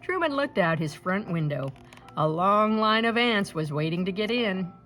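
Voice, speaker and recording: Female picture-book reader, expressive and deliberate, with a lively pitch range over background music. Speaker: storybook reader. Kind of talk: scripted children's picture-book read-aloud.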